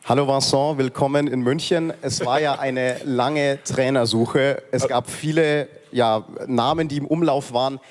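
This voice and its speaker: A man's voice: mid-range voice, steady tone, a little slow, hardly any pauses. Male reporter, polite formal question, long hall reverb. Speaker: journalist asking questions. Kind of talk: press conference question.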